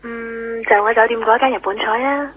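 A woman's voice: fairly high pitch, fairly even intonation, normal speed, over music. An actress playing a spoiled, demanding young woman with a Hong Kong Cantonese accent, heard over the telephone and talking coyly. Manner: pampered, coy, demanding. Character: spoiled, fussy young girlfriend. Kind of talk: 1990s radio drama acting. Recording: tinny phone line on lo-fi radio.

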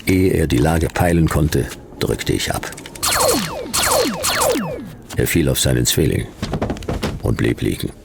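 A man playing a world-weary, sardonic private detective in a radio play, telling his story in terse, deadpan, clipped phrases. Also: extremely low pitch, takes it slowly, gravelly, long pauses, very noisy, heavily acted. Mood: deadpan, tense. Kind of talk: hard-boiled radio play narration and dialogue.

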